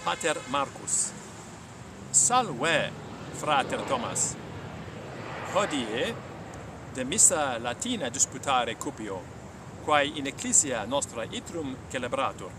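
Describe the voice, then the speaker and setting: A man speaking as a Latin teacher, explaining and reading aloud in a measured, halting live delivery. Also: mid-range voice, animated, far from the mic, background noise. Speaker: Latin teacher and reader of his own lesson texts.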